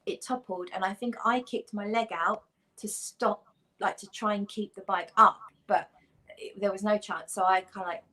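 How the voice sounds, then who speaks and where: A woman's voice: medium pitch, steady tone, moderate pace, pushed and bright, poor audio. Rambling, self-deprecating woman telling a story. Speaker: guest. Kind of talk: casual chat and anecdote telling.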